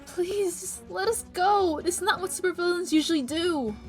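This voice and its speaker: Very high-pitched, terrified hostage played by a woman. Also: moderate pace, over music. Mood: frightened, pleading. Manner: pleading, panicked. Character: terrified pleading female hostage. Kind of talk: audio drama acting.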